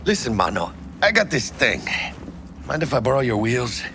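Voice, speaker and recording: A man's voice: mid-range voice, sing-song, normal speed, background noise. A male actor playing a brash, big-hearted street mercenary with a Mexican-American accent, slangy and mixing in Spanish, in a video game. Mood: friendly, easygoing. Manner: slangy, peppering speech with Spanish. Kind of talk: cinematic video game acting. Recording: loud music behind the voice.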